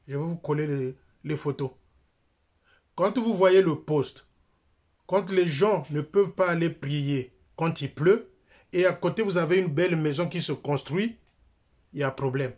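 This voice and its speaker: A man's voice: on the high side, darkish tone. Insistent middle-aged Congolese man. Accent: Congolese French. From Republic of the Congo. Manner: insistent, repeats phrases, jabs with his finger. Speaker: church member and critic. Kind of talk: direct-to-camera denunciation. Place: small indoor room. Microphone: phone camera close up.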